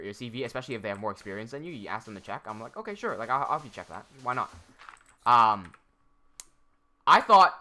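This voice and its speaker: Animated young man telling a rambling story. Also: mid-range voice. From Hong Kong. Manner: rambling, with many restarts and quoted dialogue. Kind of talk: casual storytelling to live chat.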